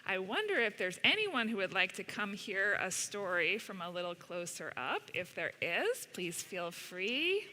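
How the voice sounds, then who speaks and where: A woman reading a picture book aloud in an unhurried, deliberate way with pauses, in a large church space. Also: mid-range voice, very animated, bright, forceful tone. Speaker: storyteller reading to children at a church service. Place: large indoor church sanctuary. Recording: reverberant large hall.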